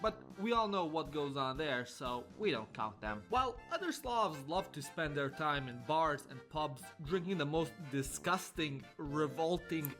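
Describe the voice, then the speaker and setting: A man's voice: a little high, animated, measured pace, hardly any pauses, fairly bright tone, faint music behind. A man delivering scripted comedic narration in a wry, mock-scholarly, sarcastic way. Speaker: video narrator.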